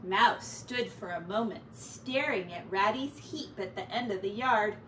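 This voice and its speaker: A woman's voice: mid-range voice, unhurried, a bit bright. A middle-aged woman, a teacher, narrating a children's picture book expressively. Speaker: teacher reading aloud to children. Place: small indoor bedroom. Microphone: distant camera microphone. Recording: roomy, distant mic, faint noise.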